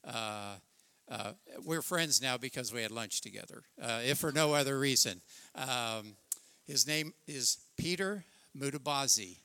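Bright, gravelly man introducing a guest. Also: mid-range voice, expressive, unhurried, no echo. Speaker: church host introducing a guest speaker. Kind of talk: informal church welcome.

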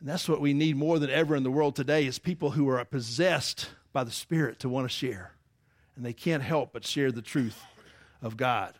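A man's voice: medium pitch, moderate pace, dry recording, clean studio sound. A man preaching a sermon, teaching emphatically and asking the congregation rhetorical questions. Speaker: pastor.

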